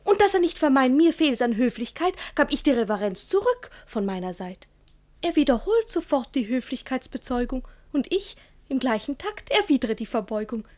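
A woman's voice: high voice, big pitch swings, normal speed, darkish tone. An actress with speech playing a naive, candid young girl in a rhymed verse radio play, guileless and earnest. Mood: artless, eager.

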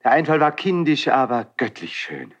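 A passionate, tormented young crown prince in a classical radio drama. His mid-pitched voice declaims verse with raw emotion, moving between hushed brooding and outbursts. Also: big pitch swings, normal speed. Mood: despairing.

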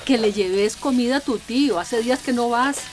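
A woman's voice: a little high, moderate pace, close to an everyday voice. A woman playing a stern, suspicious mother in a radio soap, scolding and insistent. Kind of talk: radio soap opera acting.

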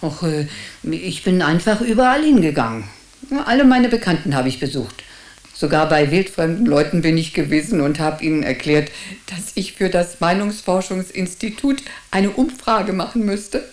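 An actress plays an eccentric old village grandmother with a fairly low voice. She talks in a wry, chatty way, dropping in little hesitant interjections. It is radio drama for a story audience. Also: lively intonation, normal speed, lightly acted. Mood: wry, defiant. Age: older.

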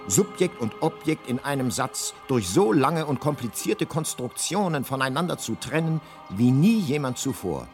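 A grandly ironic guidebook narrator in a science fiction radio play. He tells it like an epic, measured, with deliberate pauses, at an ordinary male pitch over faint music.

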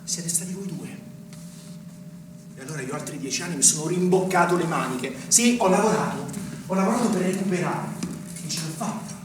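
Distant, echoey bitter politician brother. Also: male voice, high voice, animated, a little fast. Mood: bitter, accusing. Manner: scornful, accusing, rhetorical questions. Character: bitter, self-righteous provincial politician, angry older brother. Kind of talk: theatrical stage acting. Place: stage of a theatre. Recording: echoey theatre, distant mic, noisy.

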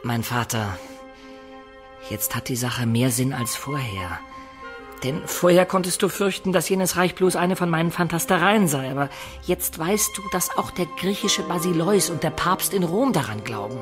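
An actor playing a wistful adventurer who recounts his life in a German radio play, in a measured, expressive storyteller's manner. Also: a little high, over music, close to an everyday voice. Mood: eager, persuasive. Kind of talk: dramatic first-person narration in German radio play.

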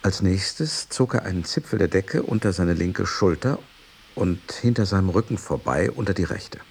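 An actor playing a sober, devoted companion of an aging master, speaking in a measured, precise manner in a literary radio drama. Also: male voice, sits low, lively intonation, creaky, darkish tone, slight background noise. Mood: sober, reflective.

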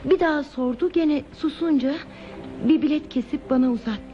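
Woman acting a high voiced mysterious drifting lady traveller, wistful and evasive, old radio drama, muffled w/ a bit of hiss. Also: moderate pace, close to an everyday voice. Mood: evasive, detached. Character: aimless, enigmatic woman traveller, detached and wondering. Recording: dull, lo-fi radio play tape, hissy.

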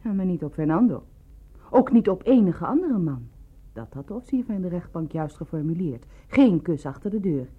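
An actress in a radio play voicing a probing, sardonic, disillusioned woman with a husky voice and a pointed way of questioning. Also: lowish voice, very animated, normal speed. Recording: thin, phone-like old radio recording.

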